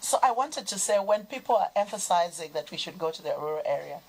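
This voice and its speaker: A woman speaks as a guest on a studio discussion. Her voice sits fairly low, and she explains things in a measured way. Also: animated, rough recording.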